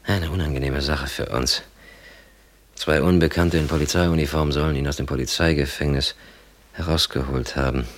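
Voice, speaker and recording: An actor playing a stern, authoritative police captain in a 1960s German radio drama, with clipped official delivery. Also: male voice, extremely low pitch, fairly even intonation, normal speed. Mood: grave, urgent. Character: stern male police captain handing out orders.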